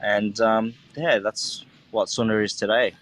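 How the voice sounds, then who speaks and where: A man's voice: on the low side, a little slow. An Australian man in his thirties, a chef talking casually in an interview, rambling with lots of fillers. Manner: relaxed, rambling, many fillers like "like" and "you know". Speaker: chef and restaurant owner.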